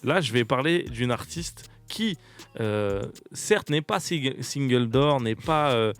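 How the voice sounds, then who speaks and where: A man's voice: medium pitch, lively intonation. A young French man hosting a radio show, chatting casually and laughing often. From France. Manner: laughs often, jokey and fast-talking.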